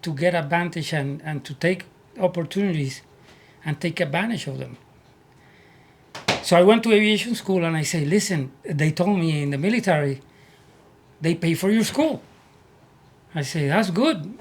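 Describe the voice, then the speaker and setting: A man from Puerto Rico with a Puerto Rican Spanish accent, telling his life story as an interview guest in earnest, halting English. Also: a little high, animated. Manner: earnest, repeats words as he searches for English. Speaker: guest, army veteran.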